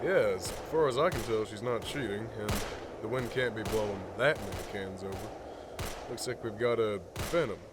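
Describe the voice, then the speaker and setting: A man's voice: on the low side, moderate pace, noisy background, lightly acted. A man playing a confident co-leader and shooting instructor of a band of survivors in a comic dub. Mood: casual. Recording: faint ambience and gunfire behind.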